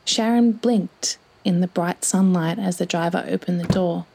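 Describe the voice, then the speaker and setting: A woman's voice: on the low side, moderate pace, gravelly, a little mellow, rough recording. A woman reading a scripted story as its narrator.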